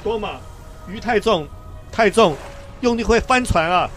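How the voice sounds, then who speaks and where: An actor playing a steady, chiding old fisherman in a biblical radio drama, with a theatrical, admonishing delivery. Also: high voice, unhurried, soft background music. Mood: urgent.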